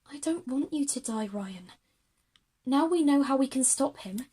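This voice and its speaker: A brave schoolgirl leader, acted by a woman in an audio drama for young listeners. Her voice is high and slightly breathy, and she speaks earnestly, reassuring her friends. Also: lively intonation, unhurried, mellow, heavily acted. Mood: gentle, pleading.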